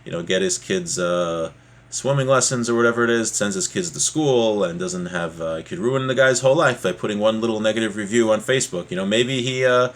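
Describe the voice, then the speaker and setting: A man's voice: fairly low pitch, fairly quick, a bit bright. A man giving an informal religious lesson, explaining a text in a plain-spoken, conversational way with asides. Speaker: religious teacher giving a class.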